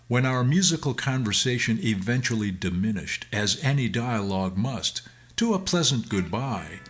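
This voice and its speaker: A middle-aged or older man reading a scripted first-person memoir in an expressive, theatrical storytelling manner. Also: mid-range voice. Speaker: storyteller, former music teacher.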